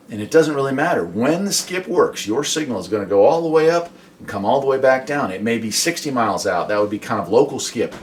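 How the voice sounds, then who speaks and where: A man's voice: fairly low pitch, a little hiss or hum. An American man hosting a casual explainer about his radio hobby, talking in a folksy, conversational way with rhetorical questions.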